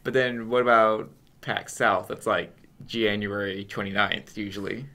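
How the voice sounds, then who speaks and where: Quick, jokey young male co-host. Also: lowish voice, fairly even intonation, sharp tone. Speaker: podcast co-host. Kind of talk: casual podcast chat.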